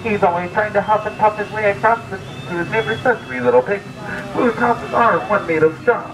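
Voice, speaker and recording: A man working as a boat ride guide, narrating a scripted storytelling tour with theatrical, drawn-out emphasis on key words. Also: sits high, background noise. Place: outdoors aboard a tour boat. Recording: distant amateur recording with loud background music. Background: music and ride ambience.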